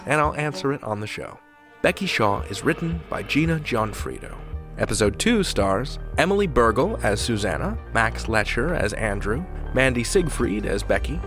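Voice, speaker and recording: A male podcast host with a lively, animated pitch reads with measured polish. Clear music plays under his voice. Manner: measured, polished announcer delivery. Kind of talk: interview questions and show outro.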